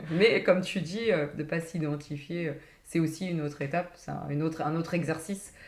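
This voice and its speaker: A woman hosting a podcast, speaking hesitantly and reflectively, with many pauses and restarts. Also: low-pitched. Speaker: podcast host. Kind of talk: casual interview talk. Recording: roomy echo.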